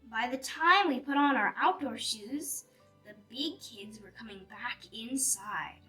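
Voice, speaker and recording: Lady reading a kids picture book, high voice, slow and careful, music behind, bit far from the mic. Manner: animated, deliberate storytelling for young children. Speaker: children's story reader. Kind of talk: expressive picture-book read-aloud.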